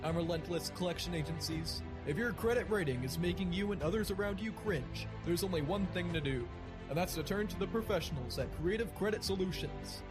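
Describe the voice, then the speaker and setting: A man's voice: sits high, expressive, fairly quick. A man reading a scripted radio advertisement as an announcer. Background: loud music.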